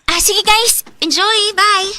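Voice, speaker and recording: An actress playing an excitable, pushy young matchmaking friend in a radio drama, with a nagging Cebuano-accented delivery. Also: female voice, extremely high pitch, slow, slight background noise, full character voice. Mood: cheerful. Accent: Cebuano Filipino. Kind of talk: Philippine radio drama acting.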